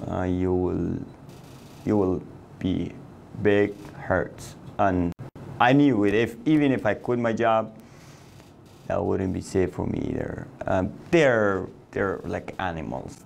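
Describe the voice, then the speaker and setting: A man's voice: deep voice, takes it slowly, vocal fry, darkish tone, a little hiss or hum. A man in his thirties from Afghanistan, speaking English in a sit-down interview, earnest, with phrasing and restarts. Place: indoor office room.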